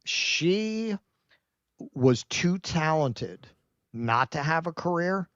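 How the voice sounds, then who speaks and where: A man's voice: medium pitch, no room sound, dull audio. A man co-hosting a casual livestream, talking bluntly, swearing freely and calling his listener "man". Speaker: co-host.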